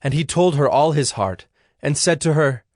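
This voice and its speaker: A man narrating dramatized scripture in a measured storytelling manner. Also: a little high, darkish tone, no echo. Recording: dry studio with music underneath. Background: music.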